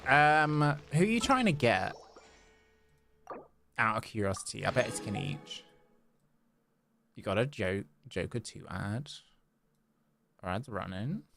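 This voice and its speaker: A man's voice: lowish voice, sing-song, measured pace, gravelly, long pauses, a bit bright. A man chatting casually and playfully as he livestreams a video game, sometimes reading dialogue aloud. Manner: playful, chatty, repeats phrases. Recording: game music behind the voice. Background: video game music.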